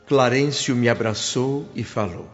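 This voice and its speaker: A man's voice: medium pitch, a little slow, close to an everyday voice. An actor playing a humble, sentimental spirit who recounts his afterlife in earnest, literary Brazilian Portuguese radio drama narration. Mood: joyful, nostalgic. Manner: earnest, reverent, literary storytelling. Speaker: narrator and protagonist. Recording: muffled radio drama with music bed.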